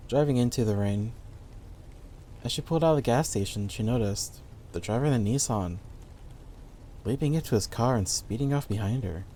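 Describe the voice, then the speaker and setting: A man's voice: medium pitch, moderate pace, pauses often, warm tone, a little hiss or hum. A man reading a scripted horror story as the narrator of an audio play. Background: background music.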